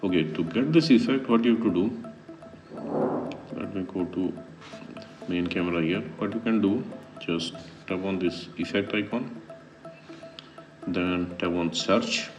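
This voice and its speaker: A man with a very low voice walks through a casual how-to, vlog style. The mic sounds a little distant, and light music plays behind him. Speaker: vlogger giving a phone app tutorial. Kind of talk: casual how-to tutorial narration.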